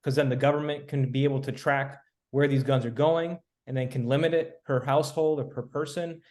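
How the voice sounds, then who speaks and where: A man from Miami who grew up there, a podcast guest laying out his views in a measured, reasoned way. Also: medium pitch, fairly even intonation, a little mellow. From Miami, Florida, US. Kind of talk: casual podcast discussion.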